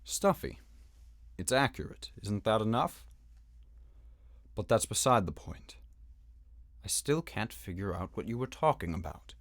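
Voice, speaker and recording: Guy acting as a serious young knight from a fantasy game, low voice, gallant n a bit stiff, dry close recording. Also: big pitch swings, a little slow, pauses often, no echo. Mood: puzzled, stiff. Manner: serious, formal, slightly stiff. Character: earnest, dutiful young male knight, gallant and kindly. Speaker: fan dub voice actor. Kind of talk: acted game dialogue.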